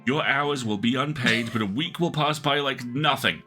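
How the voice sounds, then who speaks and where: A man playing an upbeat, pushy corporate manager giving a rousing pitch to his staff. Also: normal speed, pushed and bright, faint music behind.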